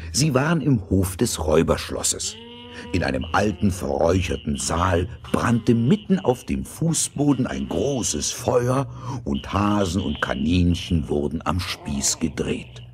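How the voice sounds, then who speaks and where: Low-pitched male fairy-tale narrator. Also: darkish tone.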